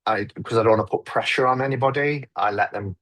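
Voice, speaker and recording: A man's voice: fairly low pitch, quick pace, fairly bright tone. A man who makes tarot videos, talking reflectively on a live stream and often restarting his sentences. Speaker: tarot YouTuber. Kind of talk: casual conversation on a live stream.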